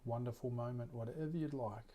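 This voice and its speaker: A man leading a guided meditation as a teacher, speaking gently and unhurriedly with long pauses. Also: medium pitch.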